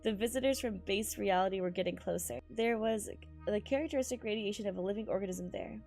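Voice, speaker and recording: A woman game streamer reading story text aloud and reacting live, excitable and prone to exclaiming and stumbling over words, with game music behind her. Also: medium pitch, fast, gravelly.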